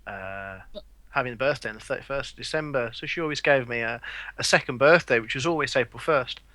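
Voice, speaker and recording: A man's voice: mid-range voice, quick pace, bright, forceful tone, no echo. A man, joking around as a podcast co-host. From England. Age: 30s. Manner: joking, playful. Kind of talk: casual chat.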